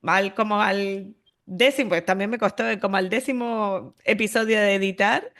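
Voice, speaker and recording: A woman podcast host from Spain with a creaky, gravelly edge to a mid-pitched voice. She speaks casually and reflectively, with a Castilian Spanish accent, often checking that her guest agrees. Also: normal speed, a bit bright. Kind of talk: casual conversational interview.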